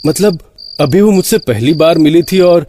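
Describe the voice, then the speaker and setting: A man playing a TV writer who tells his own horror story in a conversational, expressive way. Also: fairly high pitch, moderate pace, slight background noise, close to an everyday voice. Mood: puzzled. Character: puzzled male Mumbai TV writer recounting eerie experience. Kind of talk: first-person horror drama narration.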